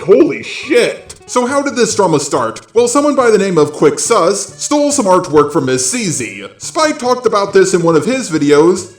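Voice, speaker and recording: Sardonic youtube commentary guy, kinda high voice, casual cursing, music underneath. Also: roomy sound. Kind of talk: scripted drama commentary with casual swearing. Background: background music.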